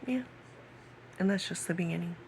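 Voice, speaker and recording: A woman in her late thirties talking personally to camera in a halting way, repeating phrases and sometimes dropping to a whisper. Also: lowish voice, gravelly, darkish tone, slight background noise. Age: late 30s. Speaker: vlogger.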